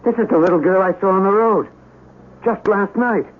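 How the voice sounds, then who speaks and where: A man's voice: sits high, moderate pace. An actor playing a defensive, guilt-ridden scientist in a radio drama, earnest and stammering as he justifies himself. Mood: frantic, anguished. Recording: noisy narrow-band old radio broadcast.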